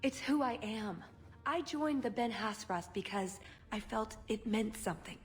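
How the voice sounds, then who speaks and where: A woman playing an earnest, idealistic elven spy in a video game, speaking with measured sincerity. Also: on the high side, expressive, soft background music. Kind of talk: video game cutscene acting.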